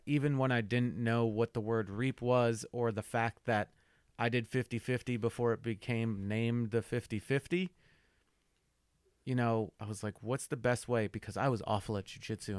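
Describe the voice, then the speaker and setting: A man's voice: on the low side, clean tone, no echo. A man co-hosting a podcast in casual chat, swearing casually and teasing, recorded on a close mic. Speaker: podcast co-host.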